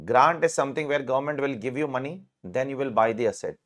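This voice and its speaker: A man's voice: mid-range voice, fast, a bit bright. A man from Mumbai with an Indian English accent, a tax lecturer chatting casually and explaining terms step by step with friendly asides.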